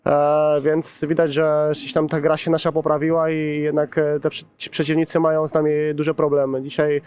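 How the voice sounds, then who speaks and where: A man's voice: on the high side, completely flat delivery, moderate pace, darkish tone, clean tone. Man, footballer, post-match interview, hesitant, restarts often, level tone, handheld mic. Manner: hesitant, many fillers and restarts. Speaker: football player. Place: pitchside interview backdrop at a stadium, outdoors. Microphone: handheld reporter's mic.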